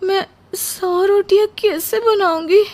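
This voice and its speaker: A woman plays a young cartoon bride with a very high voice. She is whiny and melodramatic, a playful girl easily overwhelmed, and she speaks in exclamations. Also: steady tone, slow pace, a little mellow. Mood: wailing, overwhelmed. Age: young adult. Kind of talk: Hindi moral-story cartoon voice acting.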